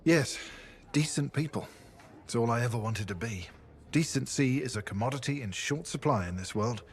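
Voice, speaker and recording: An actor playing a haunted, earnest ex-spy in a video game, with a measured, reflective delivery. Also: male voice, medium pitch, animated, close to an everyday voice. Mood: grave, reflective. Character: grave, haunted, earnest defected spy, grown man. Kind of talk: video game dramatic acting.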